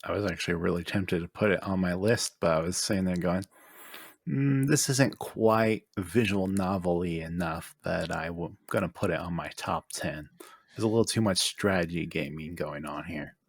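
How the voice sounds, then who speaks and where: A man with a low, husky voice chats casually as a podcast panelist. He sounds close and dry, with no room around him. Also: moderate pace, no echo.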